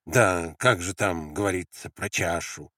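Low-voiced man narrating a novel, dry sound. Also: a little slow, bright, forceful tone, no echo. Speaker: audiobook narrator. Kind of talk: scripted literary narration with acted dialogue.

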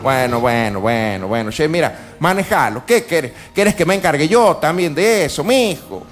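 A man's voice: on the high side, animated, moderate pace, natural voice. A man playing a folksy, foul-mouthed, exasperated Argentine provincial boss in a satirical radio sketch, grumbling and repeating himself colloquially. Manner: grumbling, colloquial, repeats words and pet address.

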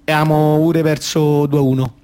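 A man's voice: on the high side, level tone, normal speed, dark tone, clean tone, lightly acted. An actor with a Roman accent playing a naive, football-mad little boy who rambles and repeats himself in a colloquial radio theatre monologue. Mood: knowing, puzzled. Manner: rambling, repeats phrases and quotes his dad.

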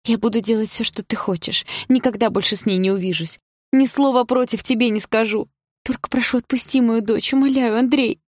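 A woman's voice: sits low, fairly even intonation, normal speed, a little mellow, slightly rough, dry recording, clean studio sound. Woman acting a desperate, fiercely protective mother. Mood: tearful pleading. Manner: emotional, pleading yet defiant. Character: desperate protective mother, long-suffering wife standing up. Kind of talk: audiobook character dialogue.

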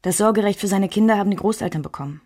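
A woman acting as a self-assured member of the Beat circle, recalling her past in measured, intimate radio play narration. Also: medium pitch, darkish tone, dry recording, clean studio sound, close to an everyday voice. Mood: reflective.

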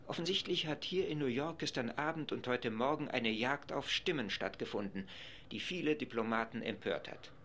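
A man's voice: medium pitch, moderate pace, pushed and bright, a little hiss or hum. A man speaking as a live radio reporter, describing events in an assembly hall from the press gallery. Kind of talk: live radio commentary, scripted.

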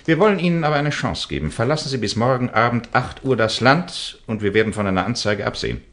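A firm investigator in a radio crime play. His mid-pitched voice moves up and down a lot as he presses his points. He sounds businesslike and suspicious, insisting on each question. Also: animated, quick pace, fairly bright tone. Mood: stern. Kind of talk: German radio crime drama acting.

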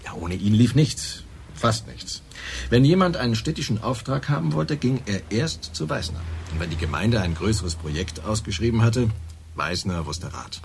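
A man playing a wry, knowing small-town police officer in a radio crime drama, talking in a measured, insinuating way. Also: lowish voice, animated, vocal fry, a little mellow, a little hiss or hum.

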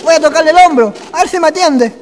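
A man's voice: very high voice, fast. A man playing an eager, polite Chilean country traveller in a radio drama, with colloquial, insistent speech. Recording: noisy ambient radio drama recording.

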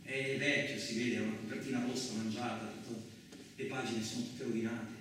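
A man's voice: mid-range voice, fairly even intonation, brisk, fairly bright tone, recorded from a distance. Male monologue actor in a very echoey room. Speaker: stage actor performing a monologue. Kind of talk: dramatic first-person monologue, colloquial radio theatre. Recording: very echoey room, off-mic, faint noise.

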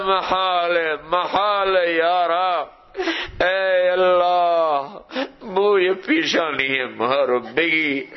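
High-voiced man leading a weeping, chanted supplication prayer; dull lo-fi sound. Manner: weeping, drawn-out pleading chant. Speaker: prayer leader.

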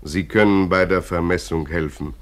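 Actor as a deep-voiced, brusque hiring clerk. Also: male voice, normal speed, slight background noise, natural voice. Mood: curt. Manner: curt, clipped, officious. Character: brusque, weary clerk hiring construction-camp workers. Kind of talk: radio play acting.

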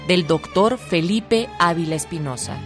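A woman giving clear, measured scripted narration for a radio drama. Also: fairly low pitch, expressive, over loud music.

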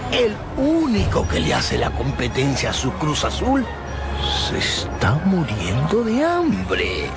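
An actress playing a scandalized town gossip in a radio drama, theatrical and emphatic. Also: female voice, low-pitched, huge pitch swings, takes it slowly, loud music behind the voice, heavily acted. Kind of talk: Spanish-language radio drama acting.

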